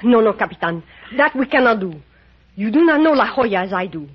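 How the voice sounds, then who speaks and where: Husky, grave, commanding señora. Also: female voice, on the high side, lively intonation, a little fast. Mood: urgent, commanding. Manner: formal, dramatic, uncontracted speech. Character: grave, commanding, mysterious Latin American woman ally. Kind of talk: old-time radio drama acting. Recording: muffled old radio recording.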